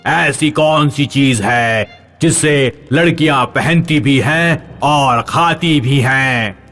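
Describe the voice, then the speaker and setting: A man's voice: medium pitch, slow pace, fairly bright tone, soft background music. A man voicing a commanding crocodile who demands riddle answers in a children's storybook tale. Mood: demanding. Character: demanding crocodile in a river of fire.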